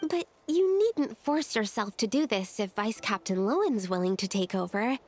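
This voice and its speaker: A woman voicing a small, cheeky, childlike fairy companion in a video game, squeaky and pert. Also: expressive, moderate pace, soft background music, close to an everyday voice. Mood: matter-of-fact. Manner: refers to self in third person, pert.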